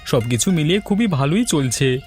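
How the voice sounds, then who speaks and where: A man's voice: fairly high pitch, sing-song, moderate pace, darkish tone. A man voicing a polite, eager-to-please village cake seller in a cartoon fairy tale. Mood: obliging, cheerful. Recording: music under the voice.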